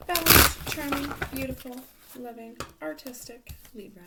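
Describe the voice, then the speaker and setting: A woman talks through a tarot reading in a relaxed, unhurried way, filling pauses with ums. Her voice is failing her, so she sounds a little strained and hoarse. A steady noise sits under the recording. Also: high-pitched. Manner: relaxed, many ums, repeats words. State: losing her voice, coughing. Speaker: tarot reader. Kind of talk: casual tarot reading to camera.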